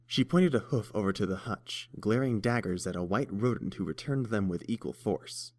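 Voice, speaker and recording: A man's voice: lowish voice, lively intonation, normal speed, darkish tone, dry recording, studio quality. A man reading scripted story narration as the narrator.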